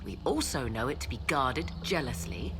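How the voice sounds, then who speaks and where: An actress playing a proud, guarded and ambitious sorceress in a fantasy game, speaking in formal, measured, haughty phrases. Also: female voice, rumbling low, big pitch swings, background noise. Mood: earnest, persuasive. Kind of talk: dramatic scripted game dialogue.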